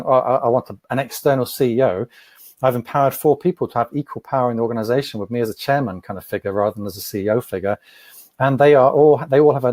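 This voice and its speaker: A man answering interview questions as an entrepreneur, thoughtful and measured, often repeating a word as he starts. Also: medium pitch, a little mellow, dry recording. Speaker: podcast guest, entrepreneur.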